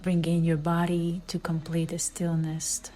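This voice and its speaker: A woman leading a guided meditation in a soft, soothing way, with phrase endings that fall into breathy near-whispers. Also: lowish voice, completely flat delivery, measured pace. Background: background music.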